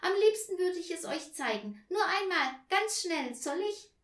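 A woman voicing an excitable, secretive little girl, eager and exclaiming, in a children's story. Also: sits high, measured pace, pitched above the performer's own voice, full character voice. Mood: bubbling. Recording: roomy echo.

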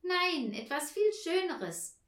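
Lady doing an excited little girl for a kids story, high voice, teasing n eager, echoey room. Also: slow, full character voice. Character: excited, secretive little girl bursting to share. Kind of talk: children's story reading. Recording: roomy echo.

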